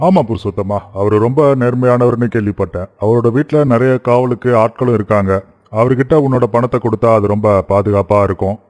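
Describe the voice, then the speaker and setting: A man playing a kindly, practical village farmer and employer in an animated moral story, speaking with measured authority. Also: medium pitch, lightly acted. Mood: advising.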